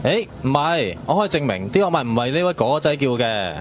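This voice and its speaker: A man's voice: mid-range voice, very animated, a little fast, slight background noise, natural voice. A man playing an earnest takeaway delivery worker in a Cantonese radio drama. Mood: matter-of-fact.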